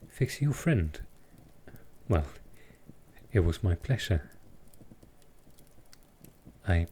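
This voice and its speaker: A man playing a gentle, courteous centuries-old vampire in an intimate roleplay audio, soft-spoken and reassuring, with a calming, unhurried delivery. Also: on the low side, slight background noise. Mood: gently teasing.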